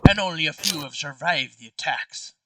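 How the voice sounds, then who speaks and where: A man's voice: a little high, normal speed, no room sound, recorded from a distance, low-quality recording. A man playing a party game with friends, switching between casual remarks and reading character lines aloud. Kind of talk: casual tabletop play with in-character line readings.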